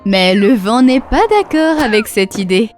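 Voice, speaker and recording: A storyteller narrating a scripted children's cartoon in expressive, sing-song rhymes. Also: mid-range voice, a little slow. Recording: music behind.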